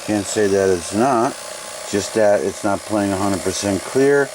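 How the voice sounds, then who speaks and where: A man's voice: lowish voice, a little slow, background noise. A man casually demonstrating old equipment, thinking aloud in a tentative, unscripted way as he goes. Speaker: demonstrator of vintage video equipment.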